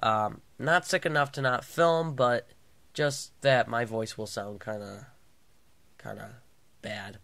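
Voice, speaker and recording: A man's voice: mid-range voice, takes it slowly, creaky, bright, forceful tone, no echo. A man with a clogged nose and sore throat, rambling casually through a movie review vlog with many fillers and restarts.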